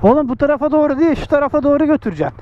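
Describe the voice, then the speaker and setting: A man's voice: very high voice, expressive, racing. A young man, joking and giving bossy orders to friends on a beach at dawn, recorded on a body-worn action camera. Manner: joking, bossy, swears freely, laughs often. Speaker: motovlogger. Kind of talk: casual chat with friends. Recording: dull lo-fi action-cam audio with loud wind noise.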